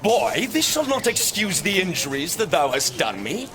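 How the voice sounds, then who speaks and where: A man's voice: sits high, sing-song, normal speed, crowd noise. An actor playing a haughty, menacing young swordsman with a British accent and a challenging manner, in a Shakespearean radio drama. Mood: hostile.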